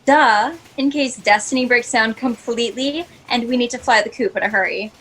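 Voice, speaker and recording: A woman's voice: a little high, normal speed, lightly acted. A woman playing a sarcastic, cocky little bird that is a self-destruct program, in a comic sci-fi audio drama. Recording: noisy video-call audio.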